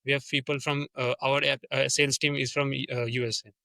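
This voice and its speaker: Indian guy talking about his sales job, lots of uh and restarts, kinda bright voice. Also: medium pitch, little pitch movement, brisk, dry recording. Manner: earnest, restarts often, many "uh" fillers. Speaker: sales development representative, guest. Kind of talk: casual interview conversation.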